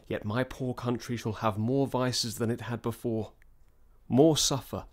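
An actor playing a brooding exiled prince with measured oratory in classical radio drama. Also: male voice, mid-range voice, fairly even intonation, lightly acted. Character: Malcolm, brooding exiled prince rallying men to revenge.

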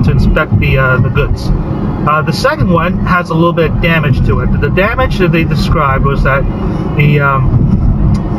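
A man's voice: on the high side, far from the mic, heavy background noise. Wry man chatting in his car. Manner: chatty, wry, self-deprecating asides. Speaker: vlogger. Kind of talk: casual vlog talk to camera. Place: parked car, inside. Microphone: phone camera at arm's length.

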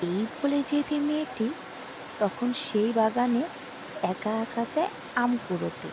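A woman's voice: on the high side, animated, unhurried, noisy background. A woman narrating a scripted cartoon cautionary story, speaking to a child in an admonishing way. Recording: rain sounds over voice.

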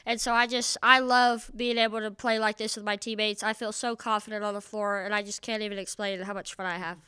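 Brief: young female athlete, bright crisp voice, eager run-on answers, dry close desk mic. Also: mid-range voice, flat delivery, fairly quick, no room sound. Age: young adult. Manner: eager, upbeat, run-on sentences. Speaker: college basketball player. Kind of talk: post-game press conference answers. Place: press room at a table. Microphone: desk mic on a stand.

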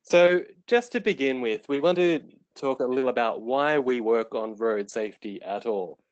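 A man presenting a webinar, explaining his subject in an earnest, measured way over a remote call microphone. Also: mid-range voice, animated, no echo, highs cut off.

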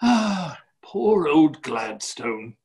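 A middle-aged actor playing a genial, rambling, exclamatory upper-class duke in a stage play reading. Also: male voice, on the high side, sing-song, very slow, a little mellow, heavily acted. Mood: genially vague. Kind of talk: stage play reading over video call.

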